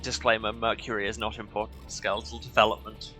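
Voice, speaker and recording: A man's voice: lowish voice, little pitch movement, fast, over music. A man giving casual live commentary on his own game playthrough, wry, jokey and self-deprecating. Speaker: gaming streamer doing a playthrough. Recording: loud game noise behind the voice.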